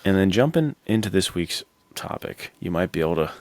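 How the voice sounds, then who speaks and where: Deep-voiced casual man co-hosting a podcast. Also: big pitch swings, fairly quick, darkish tone, dry recording. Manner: enthusiastic, slangy. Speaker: podcast co-host.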